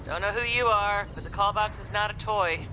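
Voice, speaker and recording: A woman voicing a brisk, no-nonsense military agency officer in a video game, curt and official. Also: medium pitch, noisy background, lightly acted. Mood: stern. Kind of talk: video game cutscene acting. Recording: echoey, under loud music.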